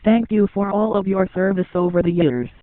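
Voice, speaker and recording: A man with a high-pitched voice reads a scripted station emergency notice. His delivery is formal and impersonal. The recording is dry and narrow, like a telephone line. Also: a little fast, no room sound. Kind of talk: scripted emergency broadcast announcement. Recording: narrow phone-like band, dry.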